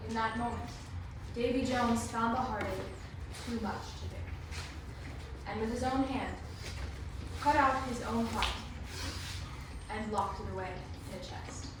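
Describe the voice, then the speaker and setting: A woman's voice: a little high, unhurried, pauses often. A woman performing dramatic scripted storytelling as a readers theatre performer. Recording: very echoey room, distant mic, noisy.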